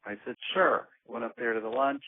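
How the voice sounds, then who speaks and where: A man speaking earnestly on a conference call, measured and pausing often with ums as he lays out plans for his organization. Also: medium pitch. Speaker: organization leader. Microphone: phone. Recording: tinny phone line.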